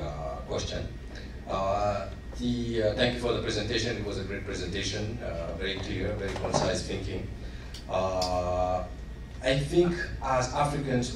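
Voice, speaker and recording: Senegalese man, audience comment at a panel, halting with fillers, picked up far off in a big echoing hall with noise. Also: fairly low pitch. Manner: halting, many fillers, building emphasis. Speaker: audience member asking a question. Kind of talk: formal public comment at a panel. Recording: echoey hall, distant mic, noisy.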